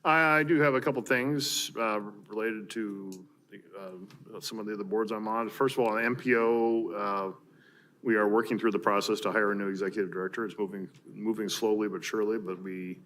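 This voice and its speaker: An American man giving an informal meeting report in a halting way, with frequent fillers. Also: fairly low pitch, fairly even intonation, fairly bright tone. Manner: halting, filler-heavy delivery. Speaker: mayor giving board updates at a council meeting. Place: large council chamber, indoors. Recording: clear background room noise from meeting chamber.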